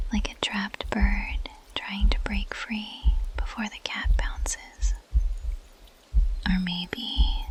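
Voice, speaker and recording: A woman's voice: medium pitch, lightly acted. Whispering, seductive plant monster woman acted by a woman. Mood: predatory, teasing. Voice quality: breathy whisper. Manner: slow, teasing, menacing purr. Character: seductive, possessive female carnivorous plant monster, ancient predator. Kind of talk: ASMR roleplay monologue. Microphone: close microphone.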